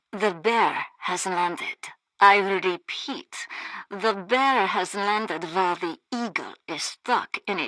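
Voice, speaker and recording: An actress playing a secretive spy agent in a comedic video game, with a deliberate, conspiratorial delivery. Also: female voice, medium pitch, sharp tone, no echo, low-quality recording. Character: secretive, clipped female Soviet spy agent.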